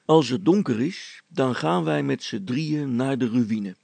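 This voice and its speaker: A man's voice: medium pitch, expressive, measured pace, gravelly, darkish tone, no echo. A man voicing a bossy, scheming village policeman with a self-important, colloquial manner in a children's story.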